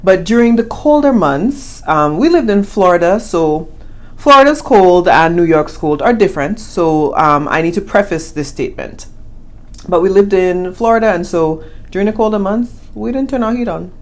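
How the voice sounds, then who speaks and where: A young woman, born in the US with family from the Caribbean, co-hosting a casual money-saving chat in an animated, playful way. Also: lowish voice, moderate pace. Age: young adult. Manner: animated, playful, talks with emphasis. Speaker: co-host of a personal finance channel.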